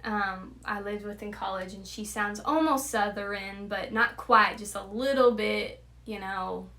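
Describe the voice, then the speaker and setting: A young woman hosting a casual chat, chatty and quick to laugh as she tries out accents. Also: mid-range voice, pushed and bright. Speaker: host.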